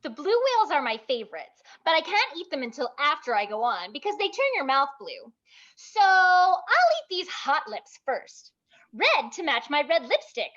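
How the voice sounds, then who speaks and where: A woman playing a brash, bubbly, blunt burlesque veteran in an animated table read, gushing and bossy with heavy emphasis. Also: high-pitched, moderate pace, highs cut off. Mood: bubbly, excited.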